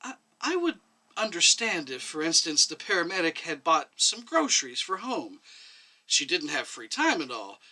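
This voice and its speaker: A man's voice: a little high, huge pitch swings, normal speed, sharp tone, pitched above the performer's own voice, heavily acted, low-quality recording. A man voicing a timid female doctor in a story, hesitant, stammering and defensively pleading.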